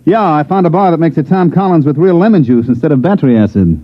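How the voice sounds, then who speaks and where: Hard-boiled male investigator, American, wisecracking, very lively pitch swings, 1950s radio drama, tinny band-limited sound. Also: quick pace. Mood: dry, casual. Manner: wisecracking, sardonic delivery. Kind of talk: 1950s radio drama acting with first-person narration. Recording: thin, band-limited old radio recording with music.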